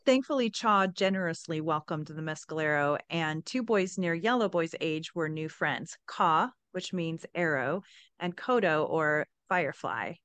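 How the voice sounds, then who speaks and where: A woman podcast host narrates a story with a smooth, clean voice that sits a little low. She moves between scripted narration and casual talk, and the sound is close and dry. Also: animated, unhurried, a bit bright, dry recording.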